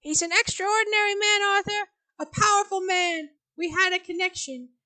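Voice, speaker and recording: A woman voicing a frail, defensive elderly mother in a casual reading of a screenplay, with a high quavering wail. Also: moderate pace, pushed and bright. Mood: wistful.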